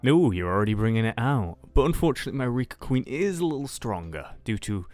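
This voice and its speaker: A man's voice: medium pitch, big pitch swings, fast talker, darkish tone, soft background music. A man talking casually through his moves as a player in a roleplay card duel. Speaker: card game duelist player.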